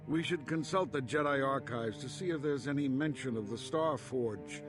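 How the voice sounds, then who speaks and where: An actor playing a stern, cautionary Jedi council master in a video game, speaking formally in a raspy, creaky voice. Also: male voice, mid-range voice, normal speed, music in the background, close to an everyday voice. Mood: concerned, firm. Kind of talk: video game cutscene dialogue.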